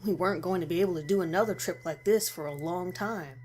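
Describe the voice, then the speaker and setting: A woman's voice: fairly low pitch, quick pace, a little mellow. A woman reading a first-person horror story as a scripted narration. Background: music.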